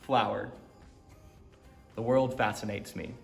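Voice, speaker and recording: A man's voice: lowish voice, slow, vocal fry. A man reading a picture book aloud for a story time, alternating single words with short sayings. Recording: echoey room with music.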